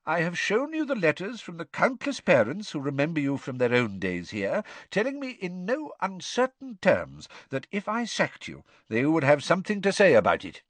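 A man voicing a wise, gently amused old male headmaster with dry wit, speaking in a measured way. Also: a little high, wildly swooping pitch, no room sound, voice pushed up. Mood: wry, kindly.

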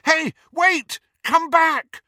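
Man voicing an urgent, high-pitched teenage boy. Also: lively intonation, normal speed, no echo, clean studio sound. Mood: calling out. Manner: fierce, impatient pleading, bursting into shouts.

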